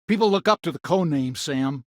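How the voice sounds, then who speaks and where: An actor playing a gruff, proud, bitter old grandfather in a video game, curt and scornful, with a gravelly, husky voice. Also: on the high side, expressive, normal speed, a little mellow, dry recording, clean studio sound, close to an everyday voice. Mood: proud, irritated. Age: older. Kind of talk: video game character dialogue.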